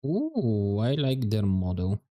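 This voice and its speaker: A man streaming a game, chatting casually and musing aloud, his voice turning hoarse and scratchy. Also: lowish voice. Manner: relaxed, musing aloud, drawn-out words. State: voice going hoarse, scratchy throat. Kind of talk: casual livestream chat while gaming.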